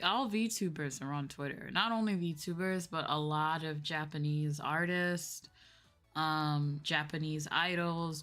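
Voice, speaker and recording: A woman's voice: low voice, fairly bright tone. A young woman streamer chatting casually and informally with her viewers on a livestream. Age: young adult.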